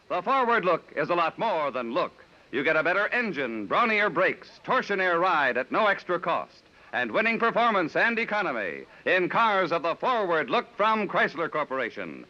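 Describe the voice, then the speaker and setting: Vintage radio announcer, male, slightly high and forceful, animated, emphatic, polished delivery, muffled old recording. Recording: dull vintage radio, faint music.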